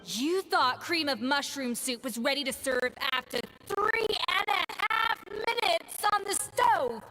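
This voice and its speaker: Sharp, haughty female chef. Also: very high-pitched, expressive, unhurried, faint music behind, heavily acted, low-quality recording. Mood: scathing. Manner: cutting, scolding. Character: stern, exacting female chef, scathing and haughty. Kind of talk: game dialogue acting.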